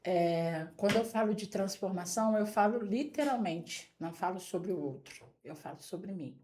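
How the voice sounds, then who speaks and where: A woman's voice: medium pitch, lively intonation, moderate pace, noisy background. Earnest Brazilian woman in her forties. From São Paulo state, Brazil. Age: 40s. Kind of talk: talk show conversation and testimony.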